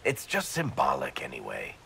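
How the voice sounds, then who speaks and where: An actor playing a teasing, offhand dwarf storyteller in a video game. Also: male voice, extremely low pitch, huge pitch swings, moderate pace, vocal fry, bright, forceful tone, slight background noise, full character voice. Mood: wry. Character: wry, easygoing male dwarf storyteller.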